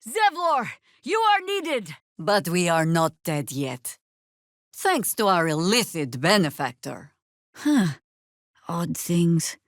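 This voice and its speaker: A stern veteran druid lady from a fantasy game, lowish voice, dry wit, measured, no room sound. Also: very animated, dry recording, full character voice. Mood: urgent, shouting. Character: seasoned, wry druid woman, stern veteran adventurer. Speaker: video game voice actor. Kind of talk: fantasy game character dialogue.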